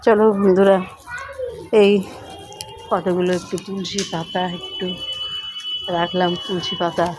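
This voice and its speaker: A woman's voice: on the low side, flat delivery, measured pace, long pauses, darkish tone. A middle-aged or older woman, chatting casually to viewers as she narrates her daily home life, on a slightly noisy handheld recording. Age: middle-aged or older, has grandchildren. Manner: chatty, addresses viewers as friends. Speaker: home vlogger.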